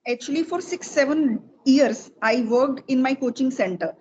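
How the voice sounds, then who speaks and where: A woman's voice: sits high, normal speed, a little mellow. A middle-aged woman from Delhi with an Indian accent, chatting as a spoken English teacher being interviewed. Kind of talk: casual conversational interview in English. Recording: dull lo-fi sound with faint noise.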